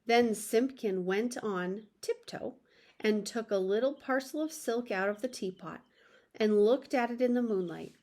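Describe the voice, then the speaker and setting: Woman, children's storyteller, higher voice, very unhurried pace, expressive reading. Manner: expressive, addresses young listeners. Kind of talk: children's story read-aloud.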